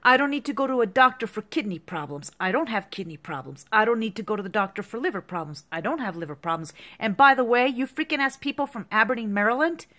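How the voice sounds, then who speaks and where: A woman's voice: on the high side, expressive, quick pace, few pauses, sharp tone. A woman in her fifties in an agitated, repetitive rant to the camera, heavy with profanity. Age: 50s. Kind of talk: angry monologue to camera.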